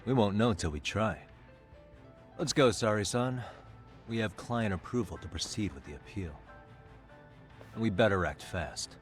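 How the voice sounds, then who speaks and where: An actor playing a determined male detective in a game cutscene, pressing a prisoner with steady, probing questions. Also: lowish voice, moderate pace, long pauses, faint music behind, close to an everyday voice. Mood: stern. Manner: pressing, steady interrogating delivery. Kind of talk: game voice acting, dub.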